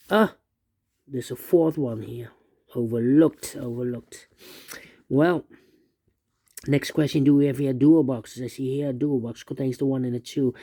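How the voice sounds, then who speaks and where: Halting male puzzle instructor, gravelly voice. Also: mid-range voice, mellow. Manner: halting, repeats words and phrases. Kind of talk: step-by-step spoken tutorial, unscripted.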